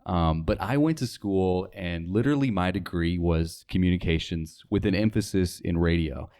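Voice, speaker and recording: A man's voice: low voice, moderate pace, darkish tone, clean tone. A young man from North Dakota talking casually as an interview guest. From North Dakota, United States. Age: young adult. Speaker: interview guest, radio sales worker.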